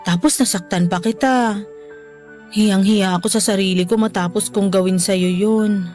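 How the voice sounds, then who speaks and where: A woman's voice: medium pitch, steady tone, normal speed, lightly acted. Tender Filipino mother played by an actress, music behind. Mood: apologetic. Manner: earnest, emotional, soft-hearted. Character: tender, apologetic mother pleading with her grown child. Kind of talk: Filipino radio drama acting.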